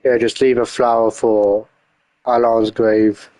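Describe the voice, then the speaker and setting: A man's voice: mid-range voice, little pitch movement, slow pace, dark tone, rough recording. A man giving sparse commentary as he plays through a game's story. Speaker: gamer reacting to a game story. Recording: background noise and clicks.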